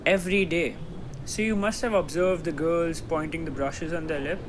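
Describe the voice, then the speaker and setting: Young man as a high-voiced, skeptical courtroom lawyer. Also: steady tone, normal speed, background noise, close to an everyday voice. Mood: incredulous, pressing. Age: teenager. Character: forceful, skeptical male cross-examining lawyer. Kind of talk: stage-style radio drama acting.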